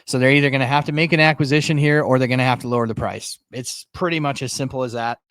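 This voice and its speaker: A man's voice: mid-range voice, no room sound. Man hosting news commentary, close dry sound. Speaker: host of a medical and pharma news show. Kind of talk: informal news commentary to camera.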